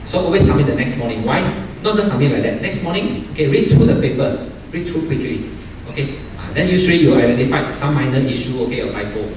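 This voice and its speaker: A man's voice: mid-range voice, lively intonation, quick pace, a little mellow. A man from Malaysia coaching an audience on publishing, in English that is not his first language, peppering his lines with "okay" and "you know". He sounds far from the mic in a very echoey university hall. A faint hiss sits under his voice. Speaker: workshop speaker, journal editor. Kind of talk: academic workshop talk.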